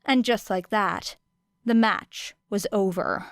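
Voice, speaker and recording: Dry recording, female audiobook narrator, slow delivery, expressive scripted storytelling, dramatic deliberate phrasing. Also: mid-range voice, no room sound.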